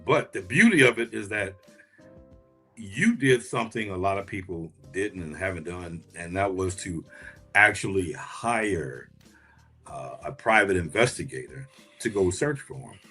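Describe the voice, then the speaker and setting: A man hosting a conversational interview, asking questions deliberately and often rephrasing them. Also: low-pitched, expressive, bright, forceful tone, husky, soft background music. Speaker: podcast host, interviewer.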